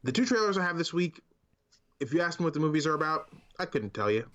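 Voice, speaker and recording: A man's voice: on the high side, very animated, fast talker, a bit bright, highs cut off. A man co-hosting a podcast, chatting casually about movies in an enthusiastic, informal and emphatic way. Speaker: podcast co-host.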